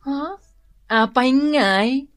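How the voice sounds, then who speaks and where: A woman acting an unhurried, gossiping village neighbor with an Ilocano accent in a comedy radio drama. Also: high voice, no room sound, soft background music. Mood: intrigued.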